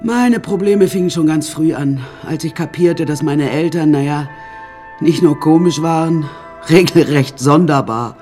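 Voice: mid-range voice, a little slow, a little mellow, soft background music, natural voice. An actor playing an imperious, eccentric older woman painter in a radio drama, haughty and theatrical, addressing the listeners directly. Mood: haughty, complaining.

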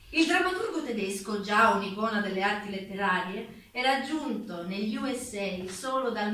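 Woman narrating a documentary play, forceful bright voice, really echoey room. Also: medium pitch. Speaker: stage narrator and performer. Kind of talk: theatrical scripted documentary narration. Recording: very echoey, slightly distant mic.

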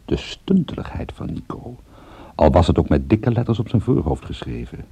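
A man's voice: deep voice, sing-song, moderate pace, dark tone, breathy edge, no room sound, exaggerated voice. An actor playing a wry private detective, narrating his own story drily and intimately in a radio drama. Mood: wry, suspenseful.

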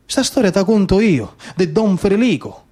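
A man's voice: high voice, big pitch swings, normal speed, darkish tone. A man in his thirties with a Sicilian accent, performing a colloquial, emphatic theatrical monologue in dialect. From Sicily, Italy. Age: 30s. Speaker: stage storyteller and actor.

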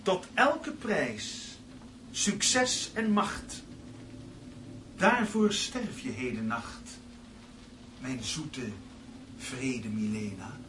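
An actor in a radio play playing a cold, calculating man who taunts his partner in a theatrical, deliberate, mock-sweet way. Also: mid-range voice, expressive, background noise. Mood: gloating menace. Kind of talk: Dutch radio play acting.

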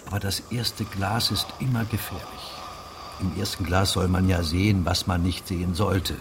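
An actor playing a defiant, resentful village official in a German radio play, speaking bluntly and with foreboding. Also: male voice, sits low, fairly even intonation, moderate pace, background noise. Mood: ominous warning.

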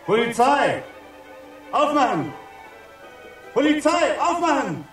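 An actor playing an excited man shouting urgent police commands in a radio drama. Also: very high voice, slow pace, faint music behind, exaggerated voice. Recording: very echoey, distant from the mic.

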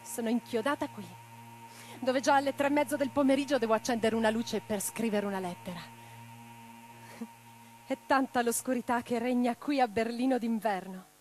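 A woman's voice: a little high, normal speed, soft background music, natural voice, rough recording. An actress playing an impassioned, reflective female socialist revolutionary in a theatrical radio drama monologue, with a dramatic, declamatory delivery. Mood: gloomy. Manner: declamatory, dramatic, swinging from hushed to forceful.

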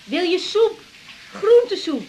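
A woman acting a caring young doctor in a naturalistic radio drama, softly concerned, questioning and a little hesitant. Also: very high voice, big pitch swings, slow, a little hiss or hum, exaggerated voice. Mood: relaxed, curious.

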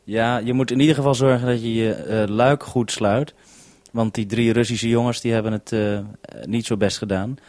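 A man's voice: mid-range voice, fairly even intonation, moderate pace, a little mellow, no echo. A male radio presenter in a casual, businesslike radio-contact conversation, ending turns with "over". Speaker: radio presenter at the base station.

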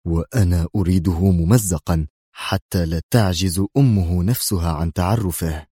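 A man's voice: low-pitched, normal speed, warm tone, slightly rough, dry recording, clean studio sound. A man voicing a stern, ferocious villainous general in an audio drama, commanding and menacing. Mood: fierce, stern.

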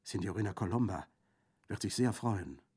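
A man voicing a shy, obedient little peasant girl in an audiobook, speaking timidly and softly. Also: on the low side, lively intonation, moderate pace, breathy edge. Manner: timid, soft. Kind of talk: audiobook character acting.

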